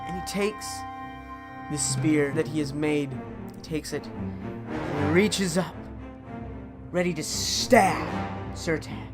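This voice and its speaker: A man improvising a dramatic adventure story as a theatrical storyteller, swinging from hushed to loud. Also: a little high, lively intonation, slow pace, pauses often, over music.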